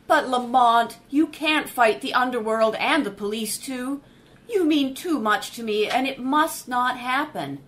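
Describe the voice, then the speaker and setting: A woman's voice: fairly high pitch, measured pace, fairly bright tone. A woman playing a devoted, worried companion. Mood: pleading. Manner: pleading, earnest. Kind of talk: 1930s radio drama acting.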